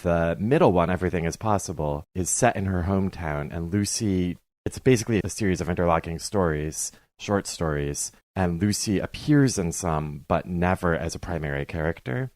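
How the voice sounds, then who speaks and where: Deep-voiced, opinionated man discussing books. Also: expressive, moderate pace, hardly any pauses, a little mellow, no echo. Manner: emphatic, opinionated. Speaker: podcast guest, journalist. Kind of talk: conversational book criticism.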